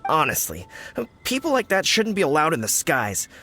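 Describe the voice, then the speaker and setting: A man's voice: a little high, wildly swooping pitch, moderate pace, pushed and bright, exaggerated voice. A man voicing a flustered, complaining fruit-cart delivery man in a video game. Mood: indignant. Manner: fretful and complaining. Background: game music.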